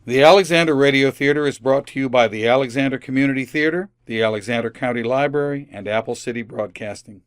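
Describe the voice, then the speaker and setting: A man's voice: medium pitch, fast, hardly any pauses, clean tone, no echo, studio quality. A man delivering a scripted sign-off as an announcer in old-time radio style. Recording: music under the announcement. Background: music.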